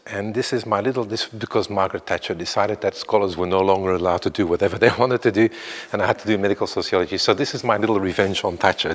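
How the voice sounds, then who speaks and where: Belgian man in his fifties, low voice, academic talk in a reverberant lecture hall. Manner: tentative, self-deprecating, many fillers and restarts. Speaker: academic speaker. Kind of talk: conference talk.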